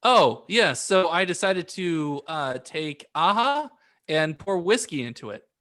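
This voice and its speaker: A man with a fairly high, bright voice co-hosts a podcast. His pitch swings widely as he banters casually and with plenty of animation. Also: big pitch swings, measured pace. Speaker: podcast co-host.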